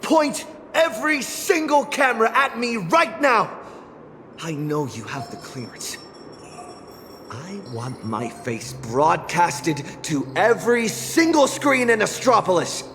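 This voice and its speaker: A man's voice: high-pitched, animated, takes it slowly, a bit bright, in a large hall, soft background music, exaggerated voice. A male actor playing a defiant, theatrical young man in a video game, speaking with emphatic declamation. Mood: furious.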